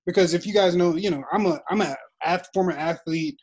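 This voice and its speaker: A man's voice: high-pitched, brisk, darkish tone, breathy edge. A young man moderating a casual group discussion, thoughtful and rambling with many fillers.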